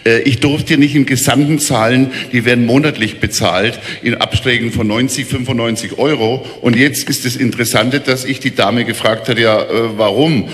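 A man's voice: mid-range voice, steady tone, normal speed, hardly any pauses, fairly bright tone. A man in the audience telling a short anecdote in a wry, sarcastic manner. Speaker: audience member asking a question. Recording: echoey hall.

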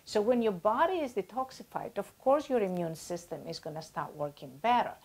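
A woman in her sixties explaining as a guest expert in an interview, animated and gesturing, using everyday comparisons, on a lapel mic in a small office. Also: medium pitch, brisk. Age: 60s.

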